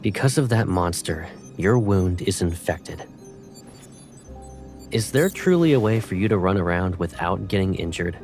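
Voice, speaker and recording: An actor playing a possessive, protective young military colonel in a video game, speaking with controlled, intimate intensity. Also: male voice, low voice, expressive, unhurried, a little mellow, faint music behind. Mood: quietly menacing. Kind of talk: dramatic video game cutscene acting.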